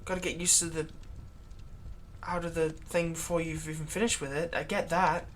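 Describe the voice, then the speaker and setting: A woman plays a vampire's long-suffering human thrall in an audio roleplay. The voice is on the low side for a woman, conversational and halting, with a sardonic, exasperated edge. There is some noise behind it. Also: level tone, close to an everyday voice. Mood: frustrated, pleading. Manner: conversational, halting, sardonic, emphatic when aggrieved. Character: long-serving human thrall, exasperated, pleading, turning menacing toward vampire master. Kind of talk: dramatic ASMR roleplay monologue.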